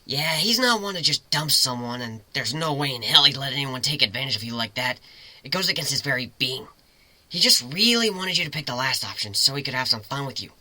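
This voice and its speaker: A woman acts a tough female griffin pirate, breezy and blunt but kind. The voice is very bright and forceful, recorded close and dry for a dramatic story reading. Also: a bass voice, lively intonation, fairly quick, dry recording, heavily acted. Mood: reassuring, frank.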